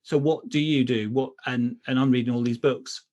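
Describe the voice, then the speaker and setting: Middle-aged man in thoughtful, halting conversation. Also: mid-range voice, fairly even intonation, darkish tone, dull audio. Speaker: writer, interview guest. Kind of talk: casual craft discussion.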